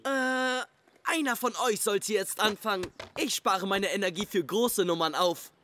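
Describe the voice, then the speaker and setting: A woman's voice: medium pitch, unhurried, natural voice. A woman voicing a cocky, bullying teenage skater gang leader in a cartoon, with a sneering, taunting manner, over music. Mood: bossy, taunting. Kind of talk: German cartoon dub. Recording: loud music behind the voice.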